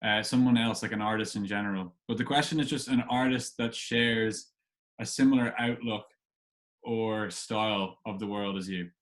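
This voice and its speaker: A man's voice: on the low side, fairly even intonation, moderate pace, a bit bright. A man hosting a casual interview, asking questions in a hesitant, agreeable way. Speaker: podcast host, interviewer.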